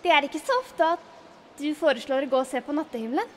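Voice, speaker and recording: A woman's voice: high voice, expressive, a little hiss or hum. A woman voicing a devoted, cheerful young dragon maid in an anime fan dub. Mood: curious.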